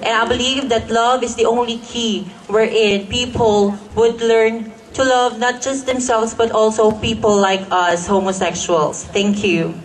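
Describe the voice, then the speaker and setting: An eighteen-year-old Filipino-accented contestant with a feminine voice, giving a poised, formal pageant answer. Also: female voice, a little high, fairly even intonation, unhurried, hardly any pauses, low-quality recording. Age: teens.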